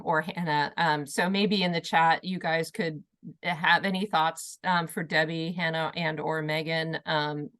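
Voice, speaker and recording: A woman's voice: on the low side, fairly bright tone, clean tone. A woman moderating an online panel over a video call, encouraging and upbeat, often repeating words as she goes. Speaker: panel host.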